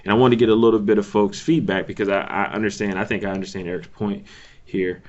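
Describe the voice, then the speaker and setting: A man chairing a conference call, giving informal updates in a halting, thinking-aloud way. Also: fairly low pitch, fairly even intonation, darkish tone. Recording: dull lo-fi conference call audio.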